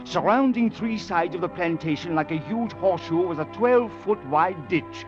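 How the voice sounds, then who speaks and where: A troubled, fatalistic commissioner, a little high in pitch with dramatic swings. He broods intensely in old radio style. The muffled vintage recording has music behind it. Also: animated, moderate pace. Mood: narrating. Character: troubled district commissioner, fascinated by danger, fatalistic. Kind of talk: 1940s-50s radio drama narration and acting.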